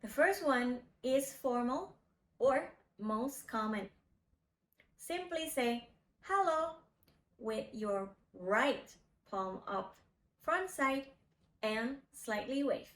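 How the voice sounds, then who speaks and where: Woman in her 30s, language teacher, very slow deliberate delivery, lapel mic in an echoey room, soft music under. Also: on the high side. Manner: slow, deliberate, demonstrating phrases. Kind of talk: scripted video lesson. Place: indoor studio with cloth backdrop.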